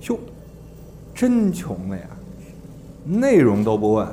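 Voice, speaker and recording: An actor playing a brisk, teasing talent manager in a Mandarin audio drama, chatty and exasperated in his banter. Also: mid-range voice, huge pitch swings, warm tone, a little hiss or hum. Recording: music behind the voice.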